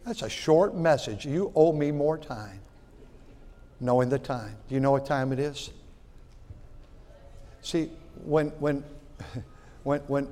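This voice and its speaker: Folksy pastor in his sixties, echoing hall. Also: mid-range voice. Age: 60s. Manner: folksy, repeats words, swings from loud to quiet. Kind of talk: sermon.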